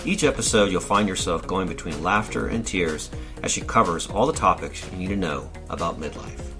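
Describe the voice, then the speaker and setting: Male announcer, very deep, scripted intro read with music under it. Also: moderate pace. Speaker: podcast announcer.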